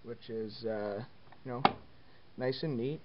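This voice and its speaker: A man casually walking through his basement wiring on camera, unhurried and full of ums and uhs. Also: mid-range voice. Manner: unhurried, many ums and uhs, plain easygoing talk. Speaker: hobbyist showing home phone wiring. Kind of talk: casual filmed walkthrough. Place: basement, indoors. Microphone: handheld camera mic, speaker off screen behind it. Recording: dull lo-fi camera audio with faint hiss.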